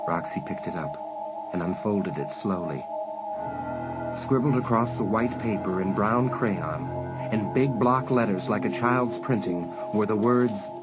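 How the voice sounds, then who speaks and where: A man narrating a suspense story as a dramatic scripted audiobook. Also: on the low side. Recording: music bed under narration.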